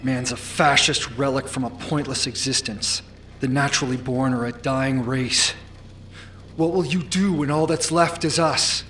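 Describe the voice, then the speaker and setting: A man's voice: medium pitch, steady tone, unhurried, a bit bright, slight background noise, lightly acted. An actor playing a defiant, anguished male commander in a sci-fi audio drama. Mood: bitter defiance.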